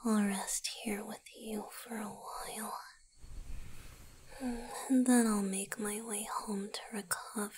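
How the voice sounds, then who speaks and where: A woman voicing a gentle, lovestruck, weakened male vampire boyfriend in a romantic ASMR roleplay, in a soft breathy whisper with halting, tender delivery. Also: medium pitch, background noise, natural voice. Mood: tired, loving.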